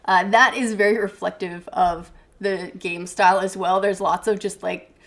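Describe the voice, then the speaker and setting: A woman's voice: mid-range voice, steady tone, normal speed, a bit bright. A woman giving her take as a panelist in a casual film review chat, blunt and casually profane.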